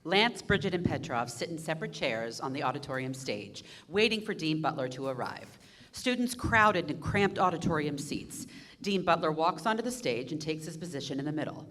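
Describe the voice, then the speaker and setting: A woman's voice: deep voice, normal speed. Woman reading stage directions. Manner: plain, even narration.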